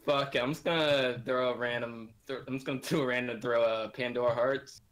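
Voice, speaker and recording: A woman chatting casually as a game contestant, hesitant and thinking out loud. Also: rumbling low, steady tone, fairly quick. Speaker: quiz contestant. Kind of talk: casual group chat.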